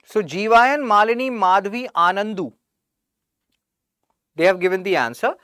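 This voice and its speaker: Animated Indian English woman teaching. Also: mid-range voice, moderate pace, clean tone, dry recording, clean studio sound. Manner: chatty, jokes and sarcastic asides; reads out student names from chat. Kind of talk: online exam-prep lecture.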